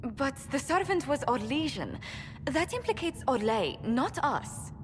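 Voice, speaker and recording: An actress playing a poised court diplomat. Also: female voice, high-pitched, big pitch swings, measured pace, background noise. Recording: game audio, long reverb.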